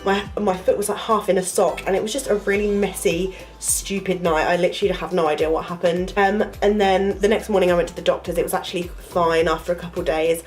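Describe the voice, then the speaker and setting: A woman's voice: on the low side, steady tone, brisk, hardly any pauses, darkish tone, faint music behind. A young woman chatting to camera, her voice nasal from a cold, rambling with lots of fillers. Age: young adult. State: congested, nasal from flu. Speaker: vlogger, university student. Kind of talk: casual storytelling to camera.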